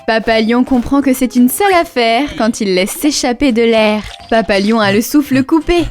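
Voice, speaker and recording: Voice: mid-range voice, moderate pace, natural voice. A narrator reading scripted rhyming storytelling for a children's cartoon. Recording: music behind the voice. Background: children's music.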